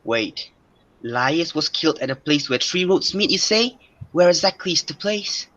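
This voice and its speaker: A young man playing a proud, suspicious, quick-tempered king of ancient Greece in an amateur radio drama. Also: on the high side, lively intonation, a bit bright. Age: young adult. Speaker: student actor. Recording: dull lo-fi recording.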